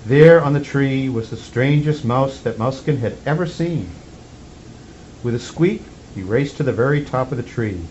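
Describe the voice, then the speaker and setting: A slightly muffled recording carries a man reading a children's story as Santa. His voice sits at an average pitch. He tells it expressively, with measured phrasing, over faint background noise. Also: darkish tone. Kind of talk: storybook read-aloud for children.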